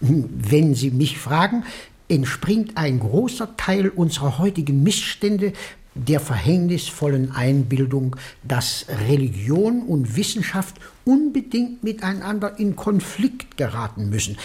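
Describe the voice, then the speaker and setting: An actor playing an elderly, hesitant, absent-minded English country priest in a German radio drama. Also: male voice, a little high, big pitch swings, measured pace, darkish tone. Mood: earnest, rambling. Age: older. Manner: hesitant, rambling, repeats himself, absent-minded.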